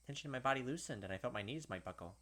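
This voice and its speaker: A man's voice: medium pitch, expressive, very fast, sharp tone. A man hosting a let's play, reading visual novel narration aloud and adding casual commentary. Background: game music.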